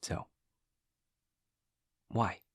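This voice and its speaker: A man playing an awkward, earnest, literal-minded demon classmate in an intimate audio roleplay, speaking formally and precisely with hesitant pauses. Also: no room sound, studio quality. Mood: earnest, caring.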